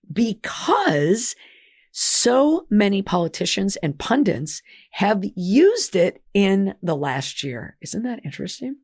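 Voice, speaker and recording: Hoarse-voiced woman hosting a podcast. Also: medium pitch, darkish tone, dry recording, clean studio sound. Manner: chatty, reads list entries aloud with asides. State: hoarse, losing her voice. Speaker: podcast host. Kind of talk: solo podcast monologue.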